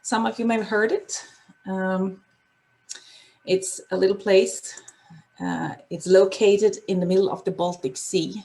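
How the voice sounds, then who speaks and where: A woman from Sweden with a Swedish accent, presenting on a video call in a casual, halting way, with many fillers and restarts. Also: mid-range voice, steady tone, vocal fry, mellow, low-quality recording. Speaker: guest presenter. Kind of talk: casual online talk with slides.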